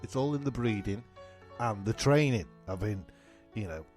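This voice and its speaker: A man acting a chirpy, self-admiring castaway in a comic radio play, excitable and jokey, with music behind him. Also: on the low side, moderate pace, lightly acted. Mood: boastful.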